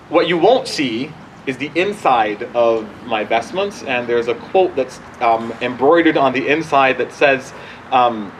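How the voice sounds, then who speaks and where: A man in his forties from Barbados, a bishop informally answering questions outdoors in a halting, conversational way that often restarts sentences. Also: mid-range voice, a little hiss or hum, rough recording. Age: 40s. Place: outdoors at a church gathering.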